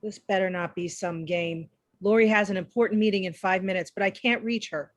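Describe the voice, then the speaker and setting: A woman's voice: mid-range voice, fairly quick, close to an everyday voice. Woman playing an anxious, snippy receptionist. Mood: irritated, anxious. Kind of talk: drama table read.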